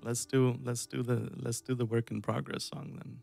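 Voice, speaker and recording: A man's voice: mid-range voice, flat delivery, normal speed, vocal fry, mellow. A man from Iceland in his thirties, chatting casually and rambling on a music live stream, self-deprecating and chuckling often. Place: dark room indoors. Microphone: headset.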